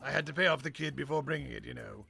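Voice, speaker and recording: A man's voice: mid-range voice, very fast, gravelly, pushed and bright, soft background music. A man playing a blustering giant gorilla supervillain in a comic radio play, pompous and defensive.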